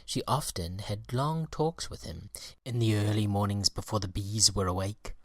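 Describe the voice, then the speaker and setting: A man reading a children's story aloud in an expressive, deliberate storytelling manner. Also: fairly low pitch, dry recording, clean studio sound. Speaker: audiobook reader.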